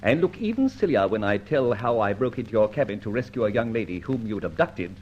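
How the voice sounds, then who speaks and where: A man with a British accent playing a cheeky, unafraid gentleman adventurer, flippant and clipped, in an old-time radio detective drama. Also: on the low side, very animated, brisk. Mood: mocking. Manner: flippant, clipped upper-class banter. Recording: muffled old radio recording, faint noise.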